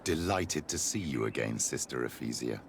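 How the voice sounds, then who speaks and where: An actor playing a courteous, composed older priest with a gravelly voice in a video game. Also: male voice, extremely low pitch, lively intonation, normal speed, slight background noise. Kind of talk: video game cutscene acting.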